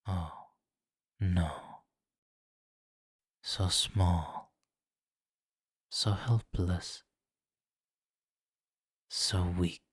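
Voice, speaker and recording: Man playing a deep, slow, imperious vampire king. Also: steady tone, husky, no echo, studio quality, heavily acted. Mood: taunting, predatory. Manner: slow, commanding, teasing, softly menacing. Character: seductive, menacing, imperious vampire king, condescendingly amused. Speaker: roleplay voice performer. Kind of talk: intimate ASMR fantasy roleplay.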